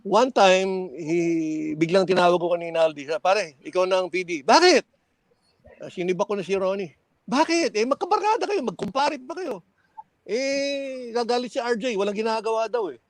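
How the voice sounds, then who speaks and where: Older filipino guy, high voice, dry sound, reminiscing casually, stops to remember then acts out who said what. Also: no echo. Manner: animated quoting of dialogue, halting recall. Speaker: former radio DJ and program director, guest on a reunion show.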